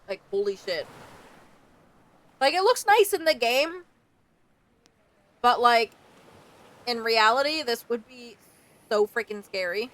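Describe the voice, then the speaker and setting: A woman giving casual, expressive let's-play commentary as a gaming streamer, informal and prone to swearing. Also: fairly high pitch, takes it slowly, long pauses, rough recording.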